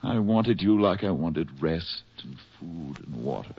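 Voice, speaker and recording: An actor playing an exhausted, lovelorn spy on the run in a 1940s radio drama, with a halting, earnest delivery and a husky voice. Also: male voice, low-pitched, lively intonation, no echo, heavily acted. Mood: weary, yearning. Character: hunted English spy, exhausted, tender, in love. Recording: muffled, husky-sounding 1940s radio recording.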